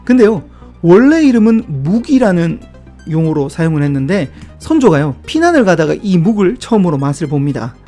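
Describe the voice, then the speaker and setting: Brief: man, middle-aged, warm mellow tone, relaxed chatty explainer, soft music bed underneath. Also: high voice, a little fast. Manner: chatty, reflective asides and small self-deprecating jokes. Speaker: book-review video host. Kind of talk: casual explanatory talk about word origins.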